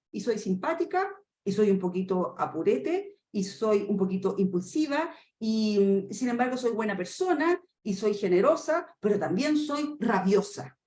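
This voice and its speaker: A Chilean woman in her fifties giving a webinar lesson in a quick, animated way, acting out short dialogues and asking rhetorical questions. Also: on the high side. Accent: Chilean Spanish. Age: 50s. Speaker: trainer. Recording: echoey room with faint noise.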